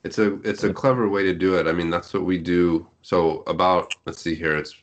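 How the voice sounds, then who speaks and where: A man speaking as a podcast guest, explaining things in a casual, measured manner. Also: low-pitched, fairly even intonation, highs cut off. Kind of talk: casual conversation. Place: group online call.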